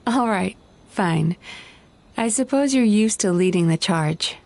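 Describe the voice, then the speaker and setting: A woman voicing a calm, perceptive young woman investigator in a game, speaking in a measured, protective way. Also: mid-range voice, slight background noise. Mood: amused. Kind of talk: game voice acting. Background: faint game music.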